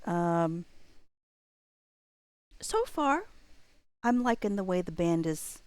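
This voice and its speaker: Low-voiced woman chatting on a livestream. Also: very animated, slow, a little mellow, no room sound. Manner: rambling, easily sidetracked, thinking aloud. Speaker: live streamer. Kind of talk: casual livestream chat while playing a game.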